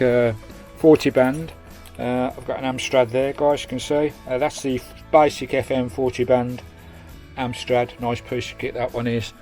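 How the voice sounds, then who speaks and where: British male vanlife vlogger, mid-pitched, casual and chatty, slangy matey delivery, music in the background. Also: darkish tone. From United Kingdom. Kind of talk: casual hands-on video tour and fitting commentary. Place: inside a small campervan.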